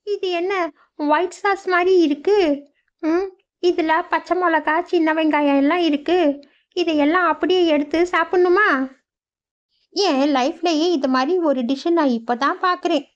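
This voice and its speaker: A woman's voice: very high-pitched, moderate pace, muffled recording. A woman voicing a fussy young city girl visiting a village in a cartoon. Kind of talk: cartoon voice acting.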